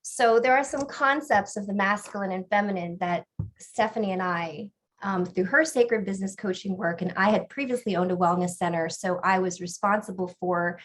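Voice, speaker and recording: A middle-aged woman co-hosting an online presentation, explaining ideas in a measured, phrase-by-phrase way. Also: medium pitch. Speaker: co-host and business coach.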